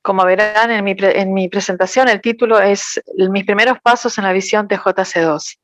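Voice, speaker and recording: A woman's voice: mid-range voice, fairly even intonation, no echo. Woman interpreting, dry sound. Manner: careful, measured. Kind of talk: consecutive interpretation.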